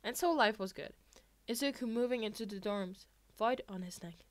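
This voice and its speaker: A woman reading fiction aloud in an expressive amateur audiobook style. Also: medium pitch, normal speed. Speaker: podfic reader.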